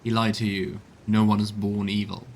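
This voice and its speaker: A man's voice: lowish voice, monotone, moderate pace, slight background noise, close to an everyday voice. A man voicing an earnest, principled adventuring bard in role-played video game dialogue, reasoning firmly. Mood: gentle.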